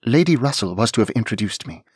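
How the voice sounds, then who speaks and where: Storybook heroine, young noblewoman, voiced by a man, raised a touch higher, formal and firm, slightly husky. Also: expressive, quick pace, darkish tone, no room sound, studio quality. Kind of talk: audiobook character voice.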